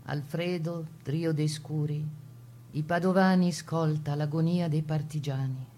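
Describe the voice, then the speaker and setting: A woman performing as the narrator of a partisan ballad, reciting dialect verse with a Veneto accent in a solemn, declamatory, husky voice. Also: sits low, fairly even intonation, measured pace, mellow. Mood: ominous, solemn. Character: poet-narrator of partisan ballad, voicing captor and defiant prisoner. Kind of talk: dramatic recitation of dialect poetry.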